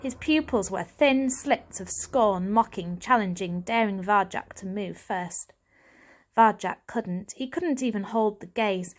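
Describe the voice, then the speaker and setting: A woman's voice: medium pitch, unhurried. A woman reading a children's story aloud as scripted audiobook narration. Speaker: audiobook reader.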